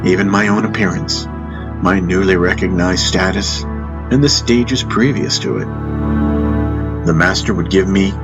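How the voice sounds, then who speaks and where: A man's voice: deep voice, a little slow, pauses often, dull audio. A man narrating a first-person fantasy audiobook in a scripted, storytelling style. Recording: music under the voice. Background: background music.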